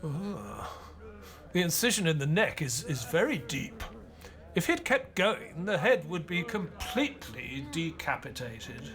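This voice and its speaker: Measured, high-pitched doctor. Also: male voice, very animated, fairly bright tone, exaggerated voice. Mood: queasy. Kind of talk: audio play acting and narration.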